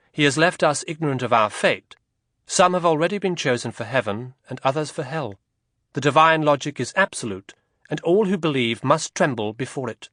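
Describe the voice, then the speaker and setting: A man's voice: mid-range voice, fairly bright tone, dry recording, studio quality, close to an everyday voice. A man voicing a stern, zealous young male Calvinist preacher, speaking with a grave preaching cadence.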